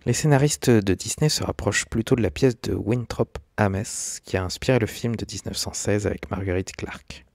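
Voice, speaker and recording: A man's voice: fairly low pitch, moderate pace, gravelly, hardly any pauses, a little mellow, no echo, studio quality. A man giving scripted, documentary-style narration as a video essayist.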